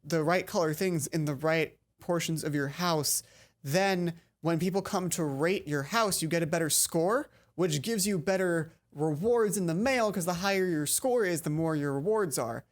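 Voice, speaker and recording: A woman hosting a let's play, reading a visual novel aloud and acting out dialogue, with casual asides. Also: fairly low pitch.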